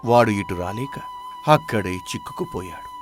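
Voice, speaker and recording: A man playing a philosophical old village father in a moral story, speaking in a measured, stoic, reassuring way. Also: mid-range voice, wildly swooping pitch, music in the background. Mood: earnest.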